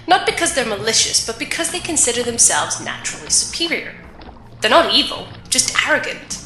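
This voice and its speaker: A woman's voice: on the high side, sing-song, brisk, bright, forceful tone. A woman playing a thoughtful, questioning young adventurer in a fantasy audio drama, speaking earnestly and reflectively. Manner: earnest, reflective, literary phrasing. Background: music.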